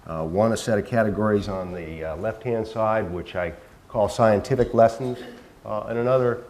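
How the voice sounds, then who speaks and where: Soft-spoken low-voiced male lecturer. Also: moderate pace. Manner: soft-spoken, hesitant with many fillers and restarts. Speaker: keynote speaker, professor. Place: lecture hall. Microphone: handheld microphone.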